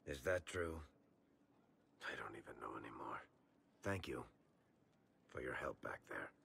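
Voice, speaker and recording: A low, gravelly voice with lively swings a cynical male adventurer in a fantasy game. He sounds weary and reluctant, with a dry, wry manner. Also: unhurried, slight background noise, exaggerated voice. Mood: weary, subdued. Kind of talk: dramatic video game voice acting.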